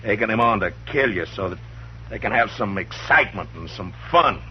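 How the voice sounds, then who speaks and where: An actor playing a stern, steady frontier marshal, firm and commanding, in a 1950s radio drama. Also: male voice, lowish voice, fairly quick, lightly acted. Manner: firm and commanding, with dry chuckles. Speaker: lead. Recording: muffled old radio broadcast, faint hiss.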